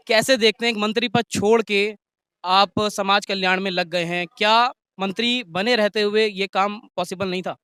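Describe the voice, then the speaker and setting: Brief: male online news host, high smooth voice, quick questions, dry close mic. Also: a bit bright, no room sound. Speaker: news interviewer and channel host. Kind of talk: street news interview.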